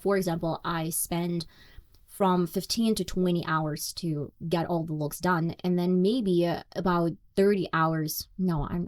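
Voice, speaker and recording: Low-voiced Russian woman hosting a podcast. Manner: candid, reflective, self-deprecating. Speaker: podcast host. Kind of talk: solo podcast monologue.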